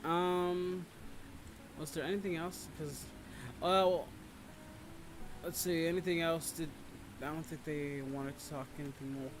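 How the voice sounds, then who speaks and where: A man's voice: fairly high pitch, steady tone, unhurried, pauses often, noisy background. A man hosting a livestream, chatting casually in a rambling, sometimes profane way. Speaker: livestream host. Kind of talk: casual chat with listeners. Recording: music playing behind the voice. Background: background music.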